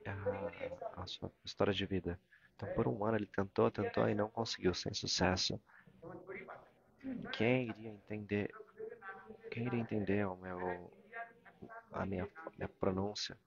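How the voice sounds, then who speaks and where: A man with a Brazilian Portuguese accent gives a live interpretation of a morning religious talk, halting and repeating phrases as he searches for words. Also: fairly low pitch, fairly even intonation, gravelly, lo-fi sound, a crowd in the background. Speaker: interpreter of a spiritual discourse.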